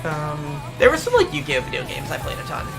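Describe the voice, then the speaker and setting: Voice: medium pitch, huge pitch swings, a little fast, creaky, rough recording. A young adult VTuber streamer chatting casually and answering viewer questions, rambling with lots of ums and the odd swear. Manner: rambling, hesitant, many fillers, mild swearing. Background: music playing.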